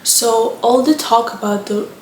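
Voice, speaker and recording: A woman's voice: a little high, steady tone, measured pace, darkish tone, far from the mic, slight background noise. A young woman from Moldova with a Moldovan accent, chatting casually and rambling about books, with frequent restarts. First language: Romanian. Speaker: book vlogger. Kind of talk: casual chat. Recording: echoey room, from off the mic.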